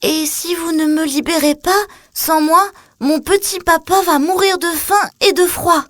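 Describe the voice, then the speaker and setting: A woman's voice: very high-pitched, steady tone, a little slow, a bit bright, no room sound, voice pushed up. A woman voicing a naive little boy puppet in a children's audio story, childlike, earnest and polite. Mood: pleading.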